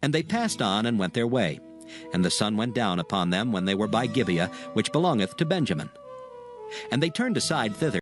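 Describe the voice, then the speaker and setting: Guy narrating bible audio, solemn storyteller, lively intonation, soft music behind. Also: medium pitch. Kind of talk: scripted dramatized scripture narration.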